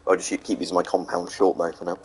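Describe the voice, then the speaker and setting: A man's voice: sits low, level tone, quick pace, darkish tone, a little hiss or hum. A man streaming a video game, casually chatting with viewers and hesitating often, with a slight sore throat and a blocked nose. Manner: rambling, answers chat questions between gameplay remarks; hesitant, many ums and restarts. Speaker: gaming streamer. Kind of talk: casual live-stream commentary while playing.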